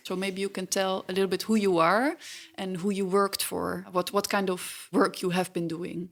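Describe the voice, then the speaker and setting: Even-toned woman hosting an interview. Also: mid-range voice, moderate pace. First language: Dutch. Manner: gentle, prompting questions. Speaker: podcast host, journalist. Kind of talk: conversational interview.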